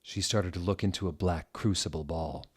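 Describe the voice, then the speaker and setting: A man narrating fan fiction as a theatrical dramatic reading, with deliberate, mocking delivery. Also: low-pitched, husky.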